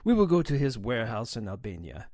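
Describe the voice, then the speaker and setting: A man's voice: medium pitch, sing-song, fast talker, darkish tone, no echo, clean studio sound, lightly acted. A man playing a boastful, hearty older Greek smuggler boss in an audio drama. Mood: resentful, determined. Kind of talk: audio drama character acting.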